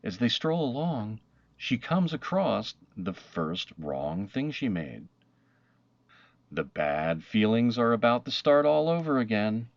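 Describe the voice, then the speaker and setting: Deep-voiced man reading a picture book expressively. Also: no echo, lo-fi sound. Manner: expressive, dramatic emphasis with deliberate pauses. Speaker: storybook narrator. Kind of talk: read-aloud of a children's picture book.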